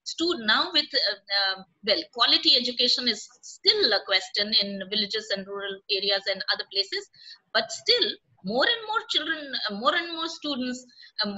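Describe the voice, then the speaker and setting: A middle-aged woman speaks as a panelist over a laptop webcam from her room at home. Her voice is very bright and a little high. She thinks aloud, pausing on fillers and restarting her sentences. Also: poor audio. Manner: thoughtful, hesitant, many fillers and restarts. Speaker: panelist, professor. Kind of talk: panel discussion on a video call. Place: room at home with orange curtains. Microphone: laptop webcam on video call.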